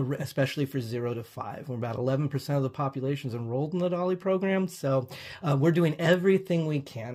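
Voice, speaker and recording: A man's voice: fairly high pitch, darkish tone. A man speaking as a nonprofit guest on a video call, explaining things earnestly. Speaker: nonprofit representative, interview guest. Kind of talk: earnest explanatory interview talk.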